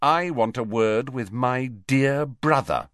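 A man voicing a furious, mocking teenage girl with a shrill, combative way of talking, reading from a storybook. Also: slow, fairly bright tone, no room sound, studio quality. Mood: curt.

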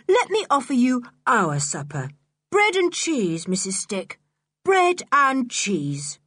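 Woman acting a cheeky, mock-polite English boy, very lively. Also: medium pitch, moderate pace, slightly rough, no echo, full character voice. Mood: coolly taunting. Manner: exaggerated politeness, teasing, self-assured.

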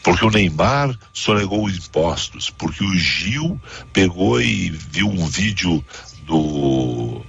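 A man's voice: low-pitched, expressive, slow, creaky, fairly bright tone, slightly rough, dull audio. A man with a southern Brazilian accent hosting a radio talk show, giving casual, opinionated commentary in an emphatic, repetitive, colloquial way. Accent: southern Brazilian Portuguese.

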